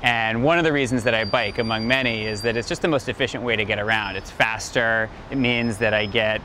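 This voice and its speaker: A man's voice: on the low side, a little fast, bright, forceful tone, a little hiss or hum, poor audio. A man in his thirties talking informally about his life and work, explaining as an executive in a casual interview. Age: 30s. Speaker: company chief executive being profiled. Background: background music.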